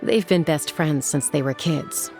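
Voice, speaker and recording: An actress playing a composed, frank woman warrior in a video game, speaking in a measured way. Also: low-pitched, over loud music.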